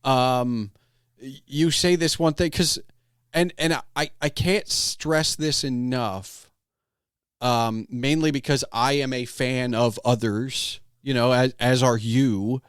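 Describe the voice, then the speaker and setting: A man hosting a casual podcast conversation, speaking in a halting, thoughtful way. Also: mid-range voice, fairly even intonation, clean tone, dry recording, clean studio sound. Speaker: podcast host.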